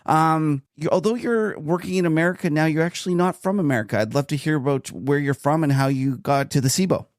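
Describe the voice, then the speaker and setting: A man's voice: on the high side, no room sound. A chatty, enthusiastic man co-hosts a podcast and leads an interview in a mid-range voice. The sound is dry and close, with no room around it. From Canada. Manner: chatty, enthusiastic, quick to laugh. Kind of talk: casual podcast chat and interview.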